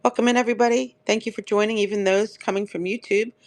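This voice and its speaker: A woman's voice: mid-range voice, fairly even intonation, fast talker. A woman hosting a live online auction of pottery, chatty and upbeat, her throat dry and crackly. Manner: chatty, upbeat, addresses viewers by name. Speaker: live-stream seller. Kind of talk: live auction selling chat.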